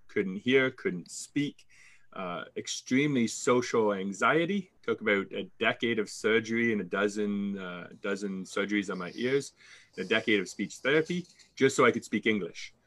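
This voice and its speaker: Canadian man in his 30s, lowish register, confident interview guest. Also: moderate pace, a bit bright. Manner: confident, fluent storytelling with occasional restarts. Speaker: sales coach guest. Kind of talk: interview answers.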